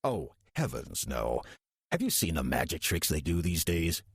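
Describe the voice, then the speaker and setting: Man acting deep, husky, wry old demon. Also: dry recording, heavily acted. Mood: calm, dry. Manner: dry, deadpan, unhurried sarcasm. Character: wry demon posing as a 63-year-old church usher. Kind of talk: audio drama acting with first-person narration.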